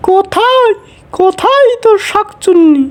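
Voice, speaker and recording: Shrill, greedy cartoon swamp ghost. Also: female voice, expressive, a little slow. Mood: scornful, threatening. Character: greedy, bad-tempered female swamp ghost, a shakchunni. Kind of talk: cartoon fairy-tale voice acting.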